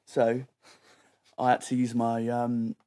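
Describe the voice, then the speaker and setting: A man's voice: mid-range voice, monotone, slow, warm tone. Young British man telling a casual story.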